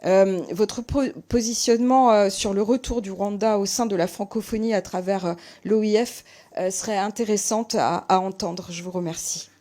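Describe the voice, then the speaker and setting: A woman, a member of parliament, putting prepared questions at a hearing in a formal, measured way. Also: medium pitch, fairly even intonation, warm tone.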